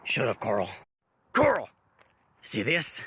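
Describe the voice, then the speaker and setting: A young man clowning through a silly outdoor phone video, jumping between a mock-grave low voice and sudden yelps. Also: wildly swooping pitch, slow, pushed and bright. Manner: jokey, swings from low mock-gravity to yelps. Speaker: vlogger. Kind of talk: goofy improvised skit. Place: outdoors on an overgrown road. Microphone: phone camera.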